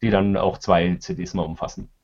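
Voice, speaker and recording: A man answering interview questions casually, picking his words carefully and haltingly as a producer guest. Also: low voice, little pitch movement, a little mellow, slightly rough. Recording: dull, lo-fi remote sound.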